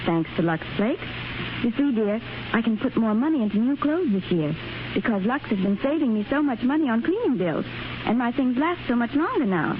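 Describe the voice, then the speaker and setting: A woman's voice: fairly high pitch, very animated, fairly quick. An actress playing a chatty, thrifty young woman in a 1930s radio ad, on a scratchy, dull old broadcast. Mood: bubbly. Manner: bubbly, chatty.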